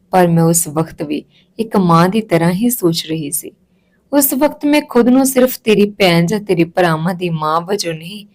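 A woman's voice: medium pitch, a little mellow, close to an everyday voice. Woman voicing an emotional, tender mother. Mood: emotional, confiding. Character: tearful, loving Punjabi mother explaining herself to daughter.

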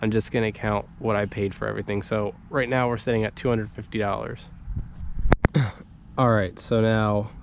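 Young man, stuffy cold, lowish voice, casual vlog talk, action camera, faint outdoor noise. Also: moderate pace, vocal fry. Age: young adult. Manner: casual, relaxed, with frequent ums and likes. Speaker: vlogger. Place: inside a parked vehicle, then outdoors beside it.

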